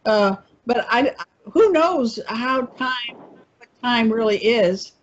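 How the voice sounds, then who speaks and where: A woman with a husky, breathy voice wanders through her thoughts in a relaxed discussion, often pausing to find the word. Her audio comes through dull and muffled. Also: a little high. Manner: meandering, searching for words. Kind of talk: casual discussion.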